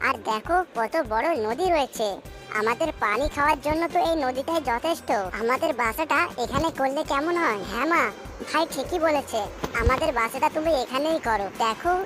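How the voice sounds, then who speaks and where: A woman's voice: high voice, lightly acted. A woman voicing a young, earnest bird chick who pleads like a child in a cartoon, with music underneath. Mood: eager. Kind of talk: cartoon character dialogue.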